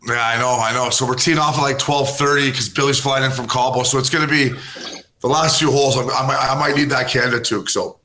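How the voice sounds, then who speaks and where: A man's voice: medium pitch, fairly even intonation, quick pace, bright, forceful tone, slight background noise. A man co-hosting a podcast, a former hockey player, rambling and joking in loose banter. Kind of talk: casual podcast chat.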